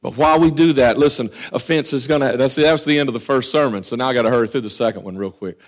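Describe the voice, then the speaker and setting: A man preaching a sermon with fervor, often dropping to quiet asides. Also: mid-range voice, fast talker. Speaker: pastor. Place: church.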